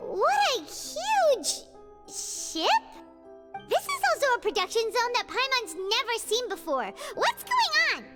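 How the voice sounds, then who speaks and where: A woman voicing a tiny, excitable, wide-eyed fairy companion with a childlike squeak in a video game. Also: takes it slowly, full character voice. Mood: excited. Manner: squeaky, wide-eyed, refers to self in third person. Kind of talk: cartoonish video game dialogue. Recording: long reverb, clear music behind.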